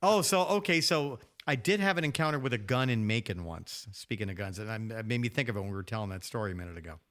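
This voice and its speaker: Male podcast co-host, fifties, animated storyteller with a bright, forceful voice and playful banter. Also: fairly low pitch, fast talker. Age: 50s. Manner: jokey, teasing, acts out quoted dialogue. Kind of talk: casual anecdotal storytelling and banter.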